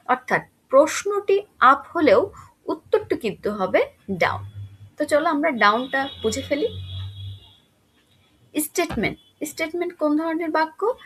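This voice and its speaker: A woman's voice: sits high, husky, poor audio. A woman teaching schoolchildren in an explanatory, instructive way, often asking questions and answering them herself. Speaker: English grammar teacher.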